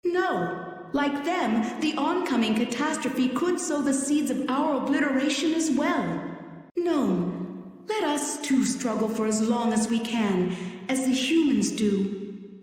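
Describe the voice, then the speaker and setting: Optimistic water spirit for fantasy game: high, poised, compassionate, formal wording, very echoey. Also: female voice, expressive, slow pace, darkish tone. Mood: gently firm. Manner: poised, reflective, formal phrasing. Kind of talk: scripted fantasy game acting. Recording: echoey cavernous hall reverb.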